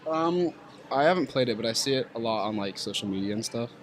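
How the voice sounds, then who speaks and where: A man's voice: mid-range voice, animated, fast talker. A man talking casually as a guest on a podcast. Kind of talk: casual chat.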